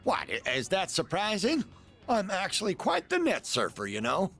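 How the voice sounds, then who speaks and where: A man's voice: high-pitched, huge pitch swings, moderate pace, vocal fry, sharp tone, faint music behind, heavily acted. An actor playing a coy, teasing, eccentric man in a video game, with a theatrical delivery. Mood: chatty. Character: playful, teasing, eccentric letter-writing man.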